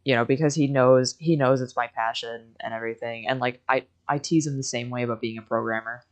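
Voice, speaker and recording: A young woman in a casual livestream chat, telling stories in an animated way and acting out quoted conversations. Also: a bass voice, a little fast. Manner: animated storytelling, quoting conversations.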